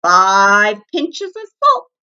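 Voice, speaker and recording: A woman's voice: mid-range voice, wildly swooping pitch, very slow, sharp tone, smooth, lo-fi sound, pitched above the performer's own voice, heavily acted. Woman acting a grumpy snarling storybook varmint. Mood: barking orders. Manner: snarling, curt, barking orders. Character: scruffy bad-tempered male varmint creature, gruff and grumpy.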